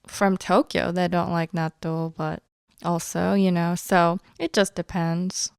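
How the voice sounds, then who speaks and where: Woman from Osaka, Japanese first language, smooth clear voice, unhurried, giggly co-host. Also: fairly low pitch, dry recording, clean studio sound. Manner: giggly, relaxed, slow and unhurried. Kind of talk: casual conversational lesson.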